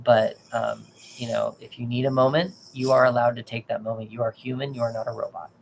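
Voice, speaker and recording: Tarot reader, male, gravelly, mid pitch, reassuring and casual, faint noise behind. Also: level tone, fairly quick. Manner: gentle, reassuring, lots of restarts. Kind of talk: live tarot reading, casual advice.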